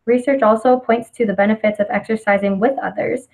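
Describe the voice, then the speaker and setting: A woman in her twenties from the United States, a student reading out a scripted research presentation in a measured way. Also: a little high, steady tone, a little mellow. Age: 20s.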